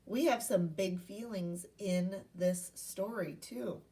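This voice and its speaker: A woman's voice: mid-range voice, slow pace, warm tone, distant mic. A woman teacher giving a read-aloud lesson to young children, speaking in simple instructional phrases and asking questions. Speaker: primary school teacher.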